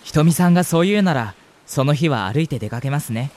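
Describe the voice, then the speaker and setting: A young man playing a gentle, sentimental university student in a radio drama, with an earnest, polite manner. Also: a little high, expressive, quick pace, close to an everyday voice. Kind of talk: radio drama acting with narration. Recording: music bed under the voice.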